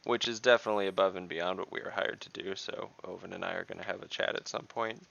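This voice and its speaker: A man with a low, creaky voice that still cuts through brightly. He talks as a player in a tabletop game, laying out plans in a dry, matter-of-fact, conversational way. The audio is a little dull, like an online call. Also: fairly even intonation, fast. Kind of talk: casual in-game planning talk.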